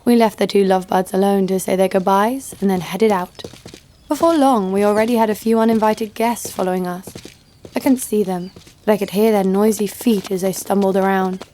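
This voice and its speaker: A woman's voice: medium pitch, steady tone, fairly quick, mellow, slight background noise, lightly acted. A woman playing a sardonic, vengeful bounty hunter who narrates her own story in an audio drama. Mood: wry, tense. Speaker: lead actor and narrator.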